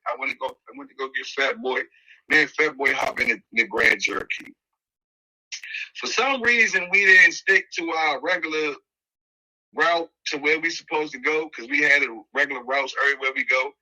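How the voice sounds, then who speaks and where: Forceful, bright-voiced D.C. man telling stories. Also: fairly high pitch, brisk, low-quality recording. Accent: Washington, D.C. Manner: animated, slangy, repeats phrases for emphasis. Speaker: podcast host. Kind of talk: casual first-person storytelling.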